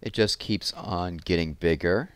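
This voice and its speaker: A man's voice: low voice, measured pace, no echo. A man in his thirties reading children's picture books aloud expressively, with casual asides, on a headset mic indoors. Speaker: read-aloud storyteller. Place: small room at home, indoors.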